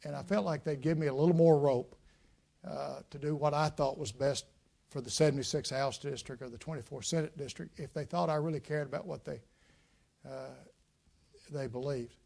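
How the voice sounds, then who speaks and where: A man, speaking at a public talk and answering audience questions in a folksy, reflective way with many pauses. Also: fairly high pitch, fairly even intonation, vocal fry, dark tone. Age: 60s. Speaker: guest speaker, former state legislator. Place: lecture room with audience.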